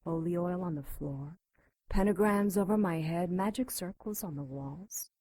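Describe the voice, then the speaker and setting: A woman playing a seductive, mocking captive female demon in an audio drama, taunting with breathy whispers and moans. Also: lowish voice, normal speed, dark tone, no room sound, natural voice.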